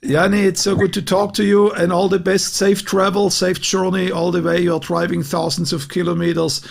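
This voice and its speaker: A man hosting an update call with guests, speaking earnestly and addressing them directly in a gravelly, husky voice. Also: a little high. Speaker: host of a live online update.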